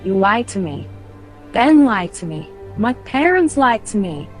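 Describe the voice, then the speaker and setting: A woman's voice: medium pitch, very animated, unhurried, soft background music. A woman playing a distraught young heroine insistently demanding the truth in a sci-fi audio drama. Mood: angry, hurt. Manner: insistent, emotional.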